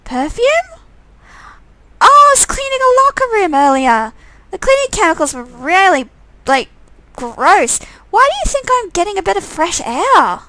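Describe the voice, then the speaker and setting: A woman voicing an excitable young woman, the exclamatory heroine of a visual novel game. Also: very high-pitched, unhurried, pitched above the performer's own voice, heavily acted, poor audio. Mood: flustered, defensive.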